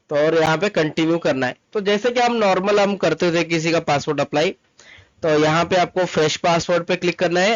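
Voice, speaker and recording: Dull-sounding, higher-pitched man explaining steps. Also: dry recording. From Mumbai, India. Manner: instructional, repeats steps, code-mixes English terms. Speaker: tutorial presenter, travel agent. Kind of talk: informal step-by-step screen tutorial. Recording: dull lo-fi close dry recording. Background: voice-over to screen recording.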